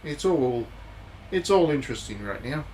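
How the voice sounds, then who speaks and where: A man's voice: mid-range voice, moderate pace, poor audio. A man giving casual, chatty commentary as he plays through a game on a livestream, musing aloud as he goes. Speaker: game streamer. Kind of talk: casual live visual novel playthrough commentary. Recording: steady background noise.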